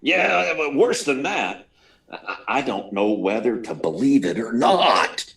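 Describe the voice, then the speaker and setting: An actor playing a harried, gruff newspaper editor in a 1940s-style radio serial, stammering and barking clipped orders, recorded at a distance. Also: male voice, mid-range voice, sing-song, takes it slowly, fairly bright tone, full character voice. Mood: weary, worried. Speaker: actor in a live radio play. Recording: distant, far from the mic.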